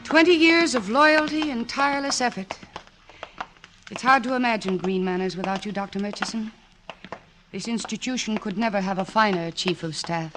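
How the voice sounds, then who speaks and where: A woman's voice: medium pitch, moderate pace, close to an everyday voice. An actress playing a cool, guarded woman psychiatrist with formal, clipped speech in a 1940s radio drama. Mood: polite, admiring. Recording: old radio broadcast with faint noise.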